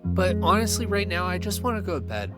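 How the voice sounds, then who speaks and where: A male actor plays an unnerved, distrustful guy in an audio drama. His pitch swings widely as he talks in halting, exasperated bursts, and music plays clearly behind him. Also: very animated. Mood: uneasy, weary. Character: Greg, shaken, suspicious man unnerved by strange events.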